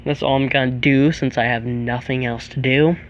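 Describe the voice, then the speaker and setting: Teen boy, monotone voice, rambling with lots of fillers, plug-in tablet mic, dull lo-fi sound, faint hiss. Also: mid-range voice. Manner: rambling, halting, many fillers and restarts. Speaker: vlogger. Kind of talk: rambling early-morning vlog. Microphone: plug-in microphone on a tablet.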